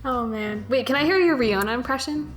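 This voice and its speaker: A young woman hosting a Q&A in casual, gushing chat, excitable and prone to giggling. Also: sits high, fairly quick, over music. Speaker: interviewer, host of a fan dub Q&A.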